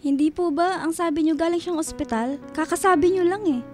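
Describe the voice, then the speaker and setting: An actress playing a wounded, bitter teenage daughter with a Filipino accent in a radio drama. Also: female voice, very high voice, a little fast, darkish tone, faint music behind. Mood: subdued, sullen. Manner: accusing, bitter, emotional.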